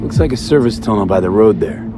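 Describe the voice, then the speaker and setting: An actor playing a wry young American mercenary in a video game, talking in terse, casual street slang. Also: male voice, fairly low pitch, expressive, quick pace, over music, natural voice. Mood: businesslike, alert.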